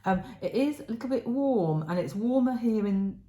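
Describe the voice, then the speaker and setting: A middle-aged woman from England, co-hosting a knitting podcast in casual, rambling chat, her voice still croaky from illness. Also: on the high side, lively intonation, normal speed, dark tone, echoey room. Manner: chatty, rambling, many fillers. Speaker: podcast co-host. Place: home room.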